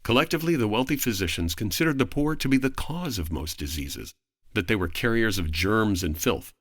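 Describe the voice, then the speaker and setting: A man speaking as a podcast guest, giving a prepared, articulate explanation in formal, measured phrasing. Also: lowish voice, expressive, dry recording, studio quality.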